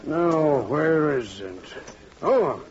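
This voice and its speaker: An actor playing an obliging, effusive village cafe keeper in theatrical old-time radio drama style. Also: male voice, on the high side, expressive. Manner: theatrical, swooping, drawn-out. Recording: dull vintage radio recording.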